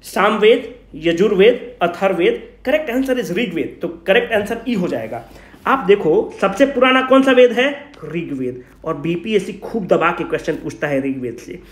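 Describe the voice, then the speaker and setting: A man's voice: high-pitched, expressive, moderate pace. A man teaching an exam revision class informally, asking questions and answering them himself, mixing in English phrases. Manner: informal, teasing, addresses students familiarly; mixes English phrases into speech. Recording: echoey room.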